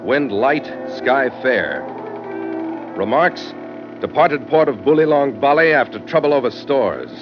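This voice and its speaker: An actor playing a tough, wry sea captain in a 1940s radio drama, with a clipped, hard-boiled delivery. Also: male voice, mid-range voice, expressive, a little slow, music in the background, lightly acted. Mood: brisk, grave. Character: tough, wry seafaring captain narrating his log. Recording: muffled old radio recording.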